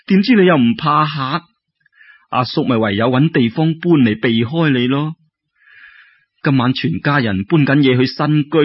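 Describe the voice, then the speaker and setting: A man voicing a timid, gentle young maiden with a Cantonese accent, hesitant and polite, in a told folk tale. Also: mid-range voice, slightly rough, no room sound. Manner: hesitant, polite, soft-hearted. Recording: dull lo-fi radio-like recording.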